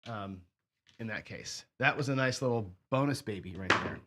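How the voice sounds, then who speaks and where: A man hosting a craps strategy show, hoarse and sniffly, chatting casually as he thinks aloud and counts out chips and bets. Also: medium pitch, normal speed, noisy background. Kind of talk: casual play-along commentary.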